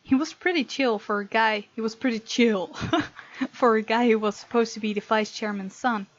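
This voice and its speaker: A woman's voice: on the high side, normal speed, dry recording, muffled recording. A woman hosting a gaming video, reading game text aloud and breaking off to comment playfully.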